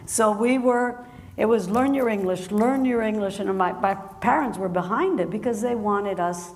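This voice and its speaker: Laughing woman author in an echoey hall. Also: medium pitch. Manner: warm, laughs often, halting restarts. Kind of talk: conversation at a public book event. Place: large hall with an audience. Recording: echoey hall with faint noise.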